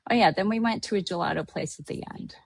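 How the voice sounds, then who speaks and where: A woman's voice: on the low side, quick pace, gravelly, dry recording, studio quality. Chatty middle-aged woman from Hawaii. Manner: chatty, rambling with many fillers and restarts. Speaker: podcast host. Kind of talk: casual chat recapping a trip.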